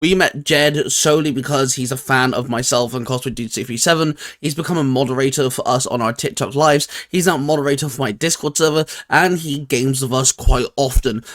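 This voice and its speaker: A man's voice: mid-range voice, a bit bright. Casual man hosting a chatty discussion. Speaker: host. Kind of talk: casual video chat and intro.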